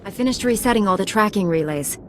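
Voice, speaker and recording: A woman playing a calm, resolute heroic knight in a video game, with a measured, matter-of-fact delivery. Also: mid-range voice, slight background noise, lightly acted.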